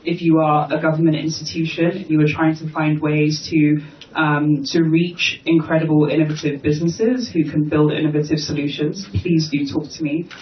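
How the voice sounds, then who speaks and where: Flat-voiced man on a conference panel. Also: on the high side, normal speed. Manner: brief, inviting, repetitive appeals. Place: conference hall. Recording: echoey, muffled, distant mic with faint noise.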